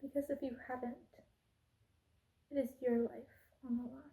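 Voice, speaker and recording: A woman playing a haughty, cruel evil queen in an ASMR roleplay, speaking in an imperious, deliberate, menacing way. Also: high voice, flat delivery, vocal fry, warm tone. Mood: cold disdain. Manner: imperious, slow and deliberate, drops into menacing whispers.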